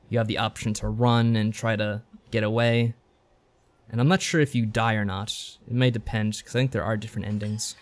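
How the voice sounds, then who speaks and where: A man with an average-pitched voice co-hosts a podcast. He talks in a loose, unscripted, easygoing style and tends to think out loud. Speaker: podcast co-host. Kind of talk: casual unscripted podcast chat about games.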